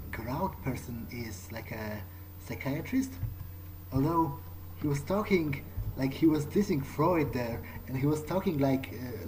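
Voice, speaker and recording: A man's voice: mid-range voice, dark tone, slight background noise, low-quality recording. A man giving casual, improvised video game commentary in a hesitant, wry, thinking-aloud manner. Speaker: let's play streamer playing in character. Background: game music.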